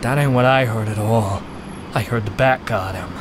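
An actor playing a nervous, superstitious petty crook in an audio drama, talking in uneasy slang. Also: male voice, lowish voice, moderate pace, lightly acted. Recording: clear outdoor ambience noise behind.

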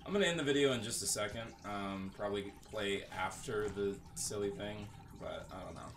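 A man's voice: fairly low pitch, brisk, noisy background. A man giving casual video game commentary, muttering offhand remarks as he plays. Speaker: gaming video host.

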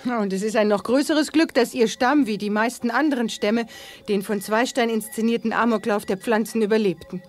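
A woman's voice: fairly high pitch, fairly even intonation, fairly quick, darkish tone, lightly acted. A woman playing a spirited, kindly young space adventurer in a children's sci-fi radio play. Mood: relieved.

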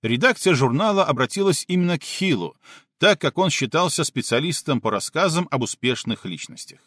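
A man reading an audiobook as a scripted narration, measured and clearly articulated. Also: a little high, lively intonation, fairly bright tone, dry recording, clean studio sound.

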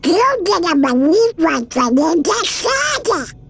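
A hot-tempered cartoon duck for a video game. The voice is a high, bright, garbled squawk full of creak, quacky and bossy in every phrase. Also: male voice, big pitch swings, takes it slowly, full character voice. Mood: exasperated.

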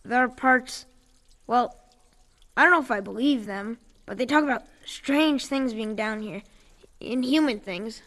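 A woman's voice: on the high side, unhurried, a bit bright. An actress playing an uneasy young woman in an audio drama, speaking hesitantly. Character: uneasy young female companion underground.

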